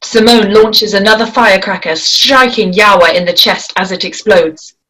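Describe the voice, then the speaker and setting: A woman's voice: medium pitch, normal speed, bright, forceful tone, poor audio. A woman reading stage directions as scripted narration for a screenplay.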